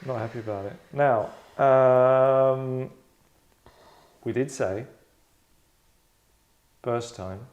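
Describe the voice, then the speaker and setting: A man's voice: medium pitch, drawn-out words, pauses often, darkish tone, muffled recording. A man hosting a table read, with a wry, rambling way of talking and stage-direction narration. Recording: echoey room sound.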